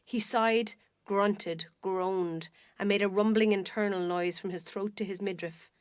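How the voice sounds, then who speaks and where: A woman with an Irish accent narrating a literary short story in a measured, expressive storytelling manner. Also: mid-range voice, clean tone, no room sound, studio quality. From Ireland. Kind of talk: scripted dramatic literary narration.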